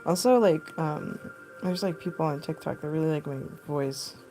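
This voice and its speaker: A young woman with a low, deep voice and a gravelly edge chats loosely as she works, full of fillers and quick laughs. Her tone is mellow and dark rather than bright. She is picked up by a phone mic, with faint music underneath. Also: low-quality recording. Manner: rambling, filler-heavy, laughs often. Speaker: costume artist streaming live. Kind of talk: casual chat while sculpting.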